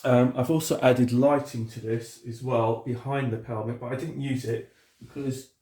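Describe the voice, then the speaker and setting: A man's voice: medium pitch, fairly even intonation, normal speed, mellow. A man with a cold, sounding sniffly, casually walking viewers around his model railway layout with lots of fillers and restarts. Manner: rambling, many fillers and restarts. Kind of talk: casual walkthrough video. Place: indoor hobby room with the layout.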